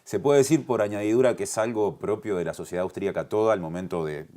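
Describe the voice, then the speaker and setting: A man with a very deep voice and a Uruguayan Spanish accent acts as a television interviewer. He frames his points in a measured, probing, conversational way, with some halting phrasing. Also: warm tone.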